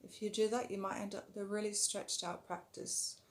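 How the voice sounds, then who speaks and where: A woman teaching a yoga breathing technique in a calm, instructive way, her voice a little rough today, sometimes stretching words slowly and dropping softer while guiding the breath. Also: mid-range voice. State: slightly rough voice. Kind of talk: breathing tutorial.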